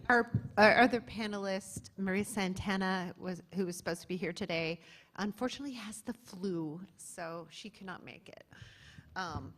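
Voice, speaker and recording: A woman's voice: mid-range voice, normal speed, a bit bright. A woman moderating a panel and presenting research in a conversational way, with frequent fillers.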